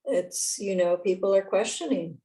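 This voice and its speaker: A woman's voice: medium pitch, moderate pace, warm tone. A woman in a study group, sharing musing, conversational comments.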